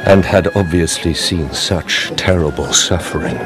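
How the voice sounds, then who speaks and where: Radio drama count: low voice, formal, brooding, measured, quietly menacing with vengeance. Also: male voice, music in the background, low-quality recording. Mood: courtly, guarded. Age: middle-aged. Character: brooding, vengeful middle-aged count, courtly, controlled, secretly haunted.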